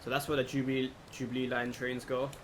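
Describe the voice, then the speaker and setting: Steady noise behind the voice, man, mid-pitched, casual let's play commentator, unscripted. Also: fast talker. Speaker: gaming video host. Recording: clear steady background noise, air-conditioning-like hum.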